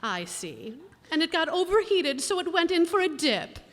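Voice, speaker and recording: A woman acting a sharp, long-suffering, wisecracking wife in a 1940s-style radio sitcom, dry and scolding. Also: very high voice, very animated, normal speed, slight background noise, full character voice. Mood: dryly sarcastic. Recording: reverberant hall, stage radio play.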